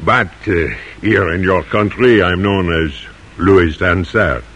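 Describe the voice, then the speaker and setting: An actor playing a proud, excitable French cannon founder with a French accent in an old radio drama. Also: male voice, sits low, slow, slightly rough, heavily acted. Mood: courteous, amiable. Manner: courtly, hesitant phrasing, easily indignant. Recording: vintage radio recording.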